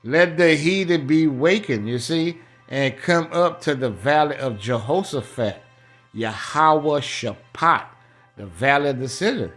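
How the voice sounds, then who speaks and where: A man's voice: fairly high pitch, expressive, measured pace, a bit bright, faint music behind. An American man preaching in a colloquial, emphatic and repetitive way, reading scripture aloud and adding his own running commentary. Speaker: street-style Bible preacher.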